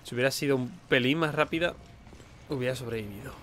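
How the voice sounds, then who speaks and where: A man's voice: medium pitch, fast, a bit bright, a little hiss or hum. Spanish man muttering through casual game commentary. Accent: Spain. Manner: informal, swearing, muttering to himself. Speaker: let's play host.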